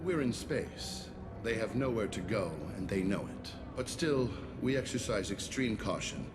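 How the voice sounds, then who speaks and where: An actor playing a stern, self-righteous alien warden of a space prison ship in a video game, speaking formally and with authority. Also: male voice, lowish voice, animated, unhurried. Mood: firm, self-righteous. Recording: loud music behind the voice.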